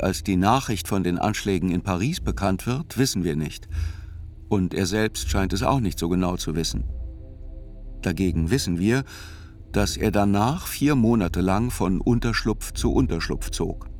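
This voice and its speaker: Radio play narrator: probing, dryly ironic chronicler, lowish pitch, measured scripted delivery. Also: male voice, expressive, darkish tone, slight background noise. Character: probing, wry male chronicler of a trial.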